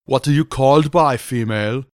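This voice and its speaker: Man putting on a loud, brash barbarian warrior. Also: medium pitch, moderate pace, no echo, studio quality, close to an everyday voice. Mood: gruff, disgusted. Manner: loud, archaic, declaiming speech. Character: gruff male barbarian warrior, brash and blunt.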